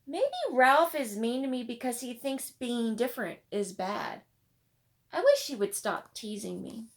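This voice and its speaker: A woman's voice: fairly high pitch, animated, a little slow, voice pushed up. A woman voicing a sensitive little schoolgirl in a children's storybook, plaintive and earnest. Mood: worried, plaintive. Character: sad, sensitive little schoolgirl, teased but brave.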